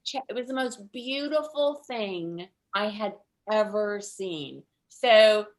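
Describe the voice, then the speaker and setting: A middle-aged woman telling stand-up stories in a conversational way, with dramatic pauses. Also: medium pitch, expressive. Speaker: stand-up comedian. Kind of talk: stand-up comedy storytelling. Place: online video event.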